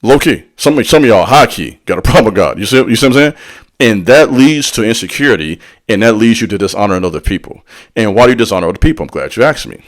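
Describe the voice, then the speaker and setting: A man's voice: fairly low pitch, animated, quick pace. A man giving a motivational spiritual talk in a preacherly way. He speaks directly to the listener in slang and drops call-and-response asides between points. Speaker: spiritual teacher.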